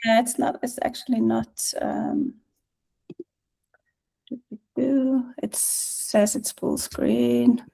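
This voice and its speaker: A middle-aged woman from Iceland with an Icelandic accent, giving an informal presentation on a video call, hesitant and pausing often. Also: a little high, steady tone, gravelly, warm tone, low-quality recording. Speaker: guest speaker, national music office director.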